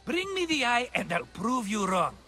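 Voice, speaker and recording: An actor playing a scheming, flattering, tight-fisted merchant in a video game, with a theatrical, wheedling manner. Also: male voice, very high voice, normal speed, soft background music, exaggerated voice. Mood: scheming, eager. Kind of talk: video game cutscene acting.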